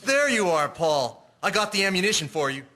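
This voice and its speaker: A man's voice: high voice, very animated, a little fast, pushed and bright, slight background noise, exaggerated voice. A young man playing a helpful airman clerk in a historical audio drama. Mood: friendly. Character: helpful young airman clerk, anxious after accidental shot.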